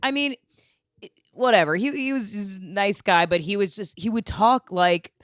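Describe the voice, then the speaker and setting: A woman with a low voice hosting a podcast, telling crude comic stories in casual chat. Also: moderate pace, dry recording, clean studio sound. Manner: crude, animated storyteller. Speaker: podcast host.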